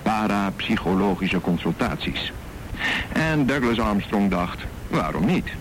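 Guy narrating a radio play, lowish voice, kinda noisy recording, measured and wry. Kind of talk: scripted radio drama narration.

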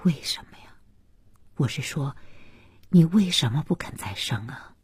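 Audiobook role: kindly older father played by a woman, breathy and low, gently inquisitive. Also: expressive, measured pace, no echo, heavily acted. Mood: gentle, inquiring. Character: Xiaoxi's father, a kindly, curious older man.

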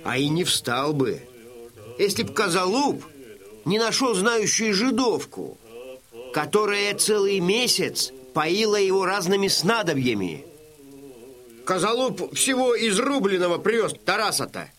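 A gossipy Cossack warrior in a radio play. The voice sits high for a man and moves freely up and down, with slow, doubtful, deliberate storytelling. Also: big pitch swings, a bit bright, heavily acted. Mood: marvelling. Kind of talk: theatrical radio drama dialogue.

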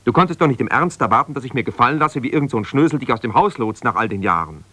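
An actor playing an embittered, self-righteous husband, a lawyer, reproachful and exasperated on one side of a phone call in a naturalistic radio play. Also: male voice, mid-range voice, animated, very fast, pushed and bright, no echo. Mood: exasperated, accusing. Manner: defensive, reproachful, exasperated, insistent.

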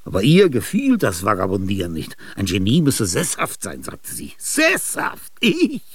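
An actor in a radio drama playing an ailing, aging male genius, restless and grandiose. He speaks confidingly in a husky, gravelly voice, with sudden outbursts and whispers. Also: lowish voice, huge pitch swings, unhurried, no room sound, full character voice. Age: older. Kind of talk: theatrical radio drama monologue.

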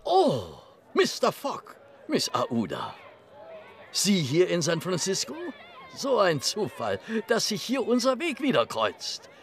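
Eager high-voiced scheming detective. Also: male voice, wildly swooping pitch, slow pace, gravelly, heavily acted. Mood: ingratiating. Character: scheming, eager male detective, officious and ingratiating. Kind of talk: German audio drama acting.

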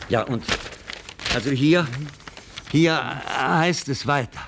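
An actor playing a kindly, educated professor in a German radio play, explaining things patiently and earnestly. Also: male voice, a little high, noisy background. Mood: solemn reading. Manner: genial, explanatory, slightly halting.

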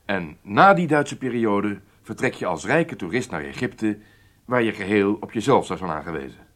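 An actor playing a commanding, matter-of-fact intelligence chief in a radio drama, speaking in a deliberate, explanatory way. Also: male voice, lowish voice, expressive, fairly bright tone. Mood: instructive. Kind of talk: Dutch radio drama acting.

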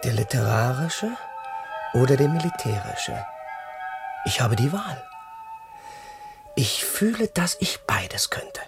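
An actor playing a proud, defiant young Prussian crown prince in a German radio drama, dreamy and declamatory. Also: male voice, medium pitch, sing-song, slow, gravelly, pauses often, over music, full character voice. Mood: dreamy, ambitious. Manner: theatrical, declamatory.

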